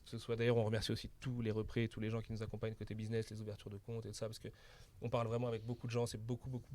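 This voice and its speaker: A man's voice: on the low side, a little mellow. A young French man in casual podcast chat as a bookshop co-founder, talking enthusiastically with lots of slang. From France.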